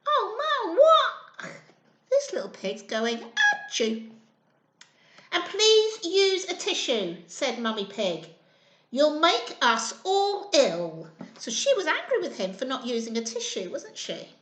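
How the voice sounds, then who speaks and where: A woman's voice: high-pitched, sharp tone. A woman hosting children's storytime, talking simply and encouragingly to small children in a sing-song way. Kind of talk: children's storytime reading and chat. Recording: roomy, echoey indoor sound.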